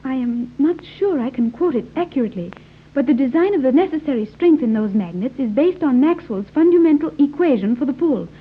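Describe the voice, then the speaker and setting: An actress playing an earnest young island guide in a 1930s radio serial, speaking in precise, formal diction. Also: female voice, high voice, lively intonation, fairly quick, husky. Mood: earnest explaining. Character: earnest young island woman guiding captive visitors. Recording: tinny, noisy old 1930s radio recording.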